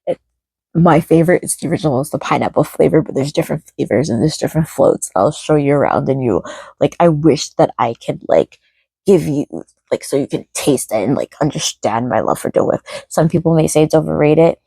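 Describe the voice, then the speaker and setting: Female vlogger, chatty and apologetic, gravelly fry in a deep register, voice wearing thin with fatigue. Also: fairly quick, dark tone, no echo. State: voice starting to go hoarse; tired, low energy. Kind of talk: casual rambling chat to camera.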